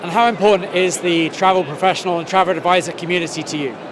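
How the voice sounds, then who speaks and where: A man with a fairly high voice asks short interview questions, sometimes restarting mid-sentence. A busy crowd murmurs clearly behind him. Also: fairly even intonation, fast, poor audio. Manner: brief prompting questions with hesitant restarts. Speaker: interviewer, trade journalist.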